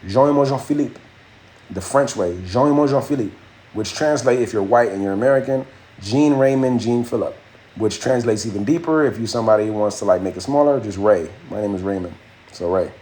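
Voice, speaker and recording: A man's voice: on the low side, brisk, dark tone. A young man hosting a casual live stream, chatting loosely with viewers in slangy speech and answering their comments. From Haitian family. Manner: raps his verse with a raised, rhythmic delivery. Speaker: livestream host and rapper.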